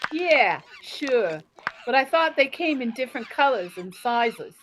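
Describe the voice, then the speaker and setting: A woman's voice: high-pitched, very animated, measured pace, fairly bright tone, slight background noise, full character voice. A woman playing a naive, chatty, nagging tourist in a 1940s-style radio comedy. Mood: curious, naive.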